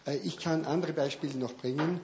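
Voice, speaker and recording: Man in the audience, kinda high voice, earnest, lots of äh, dull mic sound. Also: brisk, crowd noise. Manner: earnest, repeats a phrase for emphasis, filler-heavy. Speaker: audience member. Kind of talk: comment from the audience.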